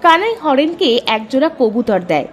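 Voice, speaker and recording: A woman's voice: high voice, very animated, normal speed, a faint crowd, a little hiss or hum. A woman giving scripted storybook narration as the narrator of a folk-style tale.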